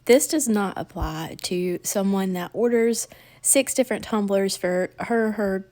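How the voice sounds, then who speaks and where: A woman hosts a casual how-to tutorial. Her voice is soft-edged and mellow, a little crackly because she is under the weather. She explains things in a chatty, down-home way. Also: medium pitch, a little slow. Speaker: crafting tutorial host.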